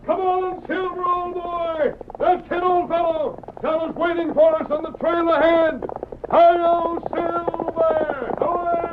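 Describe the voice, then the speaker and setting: An actor playing a heroic masked cowboy with formal, measured, commanding diction in an old-time radio drama. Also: male voice, extremely high pitch, flat delivery. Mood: rousing, exultant. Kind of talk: 1930s-40s radio drama acting. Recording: noisy, muffled old radio recording.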